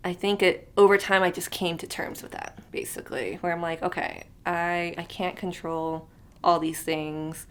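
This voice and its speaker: A woman's voice: fairly low pitch, normal speed, a little hiss or hum. A woman in her thirties chatting unscripted to camera as a vlogger, rambling and thinking aloud with lots of fillers, sometimes trailing off into a near whisper. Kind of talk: unscripted casual chat to camera.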